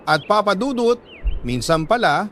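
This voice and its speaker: A Filipino man reading a scripted, sentimental first-person love story in an earnest, deliberate way, like a radio storyteller. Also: high-pitched, very animated, a bit bright, slight background noise. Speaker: radio-style storyteller reading a listener's letter.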